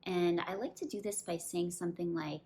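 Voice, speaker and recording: Lower-voiced woman presenting training, some background noise. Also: moderate pace, a little mellow. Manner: clear step-by-step explaining, with acted example phrases. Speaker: dentist and practice coach presenting training. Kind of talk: instructional presentation to camera.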